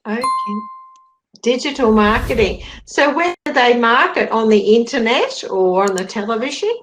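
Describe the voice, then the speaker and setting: A woman's voice: on the high side, animated, moderate pace, low-quality recording. A woman, tutoring English over a video call and patiently rephrasing her questions. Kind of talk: online language lesson conversation.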